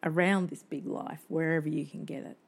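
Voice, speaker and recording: A woman co-hosting a podcast in a casual, expressive and agreeable conversational way. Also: deep voice, brisk, creaky. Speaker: podcast co-host.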